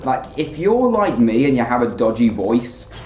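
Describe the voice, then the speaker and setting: A man giving rambling, filler-heavy let's play commentary in a flat, monotone way. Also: medium pitch, normal speed, roomy sound, slight background noise, rough recording. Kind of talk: casual gameplay commentary.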